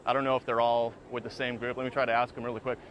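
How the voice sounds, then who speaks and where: Man, field reporter, fast talking, outdoor street sound, questioning. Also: medium pitch, level tone, fairly bright tone, highs cut off, a little hiss or hum. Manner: quick, questioning, switches to Spanish. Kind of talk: on-the-scene news report. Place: outdoors at a border crossing.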